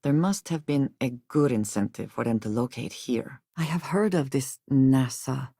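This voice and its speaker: A woman playing a guarded, earnest female spacefarer in a video game, speaking in formal, measured phrases. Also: a bass voice, dark tone, slightly rough, no room sound, clean studio sound, full character voice. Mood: measured, reflective. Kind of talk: video game character dialogue.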